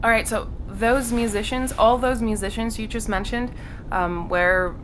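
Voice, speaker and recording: A woman's voice: a little high, steady tone, measured pace, fairly bright tone, a little hiss or hum, low-quality recording. An actress playing a cheerful woman friend who knows her music, talking in a breezy, chatty way that laughs easily, in an audio drama.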